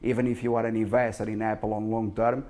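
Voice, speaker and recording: A man who is not a native English speaker giving self-promotional stock market commentary, speaking in a halting but emphatic, self-assured way. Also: lowish voice, flat delivery, darkish tone. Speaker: stock market analyst and presenter.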